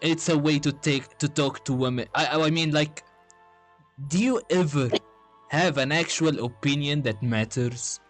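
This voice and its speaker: A man's voice: a little high, measured pace, natural voice. A man voicing a cocky, crude, combative young cartoon hedgehog in an improvised comedy fan dub. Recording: music behind the voice.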